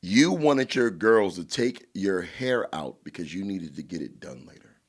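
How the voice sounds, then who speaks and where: Male podcast co-host, New York accent, booming voice, lower register, very lively, blunt delivery. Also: a little slow, slightly rough. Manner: animated, blunt, emphatic, swears freely. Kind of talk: casual podcast chat.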